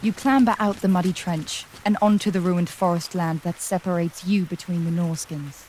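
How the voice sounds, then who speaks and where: A woman narrating a dark fantasy audio drama in a dramatic, descriptive storytelling manner. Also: lowish voice, fairly even intonation, normal speed, a little hiss or hum.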